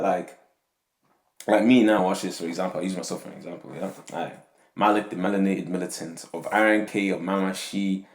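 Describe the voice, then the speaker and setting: Deep-voiced young man rambling in an echoey room. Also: a little mellow. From Nigeria. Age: young adult. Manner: rambling, tags lines with "yeah?" and "you know what I'm saying". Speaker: podcast host. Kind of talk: casual monologue on faith and community.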